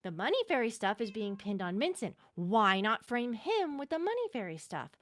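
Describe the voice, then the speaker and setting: Outspoken young female confidant, acted by a woman, fairly high voice, informal, emphatic, suspicious, game roleplay. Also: sing-song, no room sound. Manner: emphatic, informal, drawn-out stresses. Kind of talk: improvised game roleplay dialogue.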